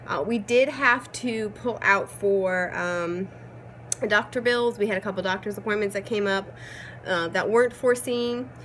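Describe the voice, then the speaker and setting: A woman in her thirties, hoarse from a cold, chatting casually and upbeat with lots of ums as she vlogs from home. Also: medium pitch, normal speed, fairly bright tone, a little hiss or hum. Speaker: vlogger. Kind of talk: casual chat. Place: at home, changed rooms.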